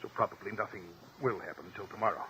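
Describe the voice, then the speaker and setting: An actor playing an anxious crooked American politician in a mid-century radio drama, with a tense, clipped delivery. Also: male voice, lowish voice, very fast, bright, forceful tone. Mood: tense, grim. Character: anxious crooked male politician in debt to gamblers. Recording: noisy old radio drama recording.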